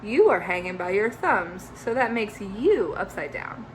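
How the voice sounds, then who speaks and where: A woman voicing a loud, blunt grown bat who speaks in a teasing, matter-of-fact way. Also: mid-range voice, sing-song, measured pace, a little hiss or hum, low-quality recording.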